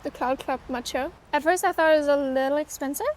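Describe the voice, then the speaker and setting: A woman's voice: high-pitched, fast talker. A German woman in her twenties, chatting casually and rambling with many fillers while filming a travel vlog on her phone. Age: 20s. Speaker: vlogger. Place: city streets and small eateries. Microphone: phone camera.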